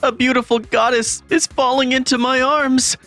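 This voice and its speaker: A man's voice: very high-pitched, steady tone, moderate pace, bright, forceful tone, heavily acted. A man voicing a gloomy, introverted, easily panicked teenage schoolboy who narrates a manga story in a self-deprecating, dramatic way. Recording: music bed under the voice. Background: music.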